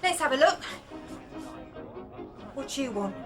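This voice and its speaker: A woman's voice: sits high, expressive, measured pace, soft background music. An actress playing a cagey, brassy Victorian showgirl in a radio drama, curt and defiant. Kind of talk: period radio drama dialogue.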